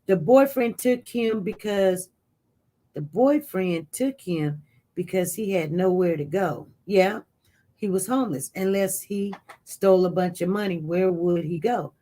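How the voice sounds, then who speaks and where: A live-stream news host in casual commentary, reading viewer chat aloud and reacting with sudden jumps in pitch and loudness, rambling with frequent restarts. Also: measured pace. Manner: animated, voice jumps up loud when reacting to chat.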